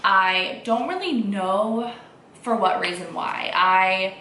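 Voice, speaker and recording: A woman's voice: medium pitch, takes it slowly, pushed and bright. A young woman vlogging at home in a casual, rambling, informal way, with a slightly congested voice. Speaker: vlogger and mother of a young child. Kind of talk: casual chat to camera. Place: indoors at home. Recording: roomy echo, distant mic, faint noise.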